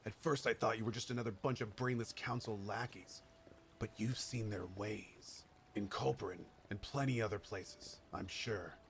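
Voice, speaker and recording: A man playing a gruff, drunken veteran warrior, haunted but eager to fight, in a video game. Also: mid-range voice, slight background noise. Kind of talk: dramatic video game dialogue.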